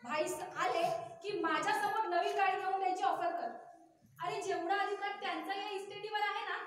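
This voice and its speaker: A woman playing a sharp-tongued, money-minded young wife in a domestic drama, taunting, scolding and imperious. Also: extremely high pitch, fairly even intonation, normal speed, slight background noise. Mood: nagging, taunting. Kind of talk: melodramatic Marathi stage-style domestic drama acting. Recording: very echoey room, distant mic, music.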